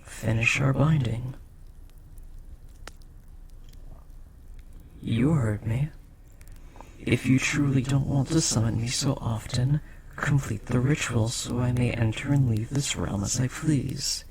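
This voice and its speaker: A man voicing a powerful, possessive and devoted demon in an intimate audio roleplay, speaking formally and intensely with dramatic pauses. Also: medium pitch, a little mellow, slight background noise, close to an everyday voice. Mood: insistent, commanding.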